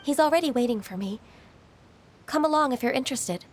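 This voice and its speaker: Gentle, higher-pitched immortal maiden. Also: female voice, animated, a little fast, slight background noise. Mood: earnest, reserved. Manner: soft, earnest, polite. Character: gentle, reserved young half-human immortal woman. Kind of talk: video game character acting.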